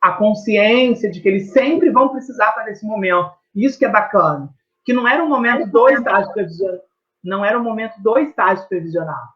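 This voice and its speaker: A man's voice: high-pitched, fast, fairly bright tone, muffled recording. A man with a Brazilian accent, a teacher explaining his research in conversation, reflective and emphatic, often adding tag questions.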